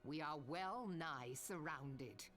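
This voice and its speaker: An actress playing a stern, commanding pirate admiral in a video game, rousing a crew with grave, formal seafaring oratory. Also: female voice, deep voice, expressive, takes it slowly, a bit bright, soft background music, exaggerated voice. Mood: grave, resolute. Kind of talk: dramatic oratory in archaic seafaring speech.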